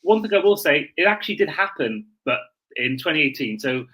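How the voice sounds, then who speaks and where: A man's voice: on the high side, very animated, brisk, sharp tone, husky. A man hosting a sports podcast in casual chat, often correcting himself mid-sentence.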